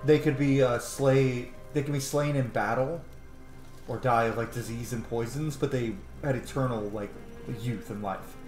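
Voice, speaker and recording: A man's voice: mid-range voice, steady tone, moderate pace, a little mellow. A man hosting a let's play with casual, chatty commentary, thinking and reacting aloud. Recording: roomy echo, game music behind.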